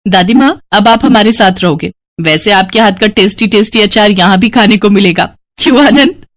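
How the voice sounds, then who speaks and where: Woman as young granddaughter, spirited, devoted, expressive, storybook heroine. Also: medium pitch, normal speed, natural voice. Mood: cheerful.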